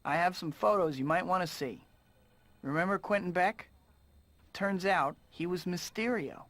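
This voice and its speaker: An actor playing a young, put-upon but earnest superhero in a video game, with a wry, quipping delivery. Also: male voice, high voice, normal speed, fairly bright tone. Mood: earnest, indignant.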